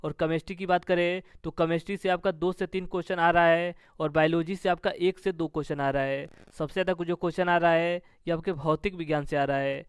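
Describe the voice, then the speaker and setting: Male coaching instructor, very clean smooth voice, close dry sound, formulaic question-then-answer delivery. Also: a little high, steady tone, fairly quick, hardly any pauses, no echo. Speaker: exam coaching teacher.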